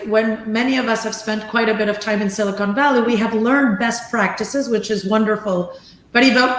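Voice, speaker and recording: A woman's voice: on the high side, echoey room, low-quality recording. A woman from Venezuela speaking as an investor on a panel, in a thoughtful, articulate way. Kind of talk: panel discussion.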